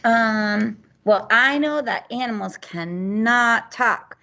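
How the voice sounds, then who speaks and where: A woman's voice: a little high, takes it slowly, gravelly, sharp tone, lo-fi sound. A woman casually discussing a picture book, giving simple answers as if she were the student. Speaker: teacher playing the student in a reading demonstration.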